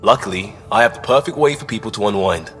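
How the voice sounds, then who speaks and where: An easygoing starship crewman played by a man in a video game, fairly low in pitch. He talks in a casual, wry way, in a reverberant space with soft music underneath. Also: fast talker, close to an everyday voice. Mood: wry, upbeat. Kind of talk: scripted video game acting.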